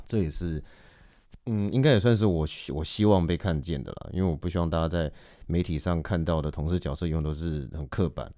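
A man's voice: sits low, fast, mellow, dry recording, clean studio sound. A man with a Taiwanese Mandarin accent, an actor speaking as a podcast guest in a reflective, explanatory, conversational way. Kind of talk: conversational interview.

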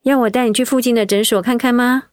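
A woman acting a kind young neighbor in a radio drama, speaking gently and reassuringly to an older woman. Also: a little high, fairly even intonation, fast talker, no room sound, studio quality, lightly acted. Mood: caring.

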